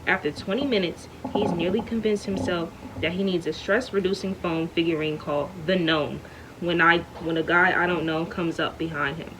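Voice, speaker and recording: A woman reading a chapter of a novel aloud at home in a smooth, steady way. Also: mid-range voice, normal speed, noisy background. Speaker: read-aloud reader.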